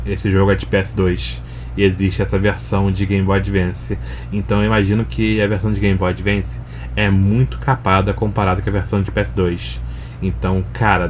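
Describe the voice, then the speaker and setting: A man's voice: low voice, darkish tone, a little hiss or hum. A Brazilian man giving casual, slangy, conversational commentary as he reacts to a video.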